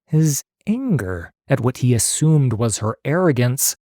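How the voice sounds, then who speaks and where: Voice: medium pitch, big pitch swings, a little slow, mellow, no room sound, clean studio sound. A narrator reading a scripted fantasy story in a solemn, theatrical storytelling manner.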